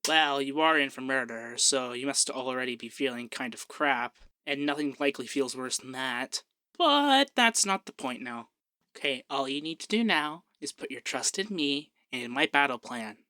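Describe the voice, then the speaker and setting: Man playing a bright-voiced peppy young femboy barrister. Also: medium pitch, normal speed, clear, resonant tone, no echo, clean studio sound, natural voice. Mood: peppy, flustered.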